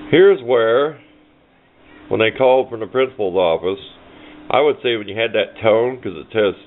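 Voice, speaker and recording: A man with a very low voice talks casually, as if thinking aloud while showing off a workbench project. His delivery is folksy and rambling. The recording is narrow and phone-like, with some noise behind him. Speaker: hobbyist presenting a radio project. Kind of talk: casual hands-on show-and-tell. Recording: muffled phone-like audio with clear background noise.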